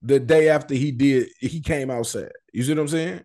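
A man's voice: mid-range voice, normal speed, dark tone. A man, sounding middle-aged, in casual, slangy street news commentary with profanity, often checking that the listener follows. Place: indoor room, video call.

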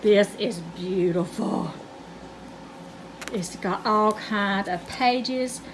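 A woman's voice: medium pitch, drawn-out words. A woman, chatting to viewers in a casual vlog and calling them "y'all". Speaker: vlogger. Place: car interior, then at home. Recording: car noise behind voice.

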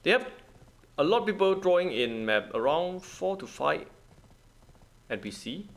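Gravelly man with lively, swinging pitch. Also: a little slow. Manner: thinking aloud in short, repeated phrases; non-native, broken English. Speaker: gaming video host. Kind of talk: casual gameplay commentary.

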